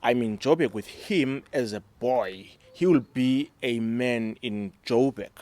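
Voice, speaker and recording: A middle-aged South African man from the Eastern Cape, a native Xhosa speaker, speaking in a measured, reflective way about fatherhood and often checking in with his listeners. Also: mid-range voice, darkish tone, no room sound. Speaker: discussion panelist, father. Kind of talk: casual reflective discussion.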